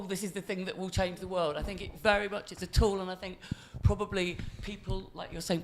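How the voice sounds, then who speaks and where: A man's voice: high-pitched, gravelly, a little hiss or hum. A man speaking thoughtfully on a panel, hedging his points. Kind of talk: panel discussion.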